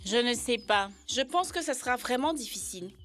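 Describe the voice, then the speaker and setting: A woman's voice: fairly high pitch, lively intonation, brisk, fairly bright tone, faint music behind. A woman voicing a sensible, candid young child in a children's cartoon dub. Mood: matter-of-fact.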